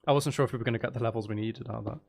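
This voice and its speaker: Male game streamer, mid-pitched with heavy creak; self-mocking, sweary, chatty thinking-aloud commentary. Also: fairly even intonation, racing. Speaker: gaming video host.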